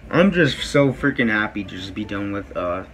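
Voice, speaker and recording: A teenage boy with a deep voice, rambling casually to his phone camera in a small bedroom, with lots of fillers. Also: expressive, normal speed, a bit bright, slight background noise. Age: teens. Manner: rambling, many fillers and "freaking". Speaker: vlogger. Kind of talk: casual vlog talk to camera. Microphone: phone camera at close range.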